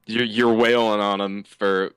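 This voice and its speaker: Teen boy storyteller, low smooth voice, animated podcast banter. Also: unhurried, no echo. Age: teens. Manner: animated, laughing, jumps into high-pitched put-on voices. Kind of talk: casual chat, storytelling.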